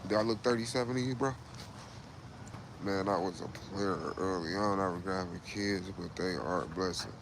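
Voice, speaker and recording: A man's voice: low-pitched, normal speed, noisy background. A man in his late thirties hosting a casual livestream, talking loosely and profanely, repeating himself and saying "bro" a lot. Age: 30s. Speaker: livestream host.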